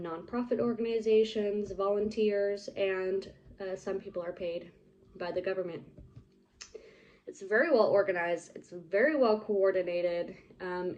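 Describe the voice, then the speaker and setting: A young woman talks loosely and casually, filling her sentences with likes and ums. Her voice is mellow and soft-edged, sitting at an ordinary middle pitch. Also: low-quality recording. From United States. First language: English. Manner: rambling, lots of "like" and "um". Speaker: vlogger. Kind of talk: casual chat to camera.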